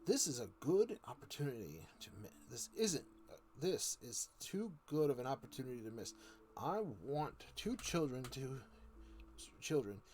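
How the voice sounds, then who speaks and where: A man's voice: medium pitch, big pitch swings, moderate pace, a little mellow, close to an everyday voice. Man playing a cunning, coaxing old uncle. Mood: eager, insistent. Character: cunning, creepy old uncle, eager experimenter, falsely genial. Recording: noticeable background noise.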